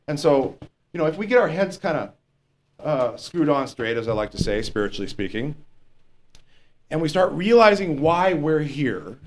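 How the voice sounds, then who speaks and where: A man with a lower voice, a pastor teaching verse by verse in a conversational way and reading scripture slowly and with emphasis. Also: very animated. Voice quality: lower voice, not strong. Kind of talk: verse-by-verse Bible teaching sermon.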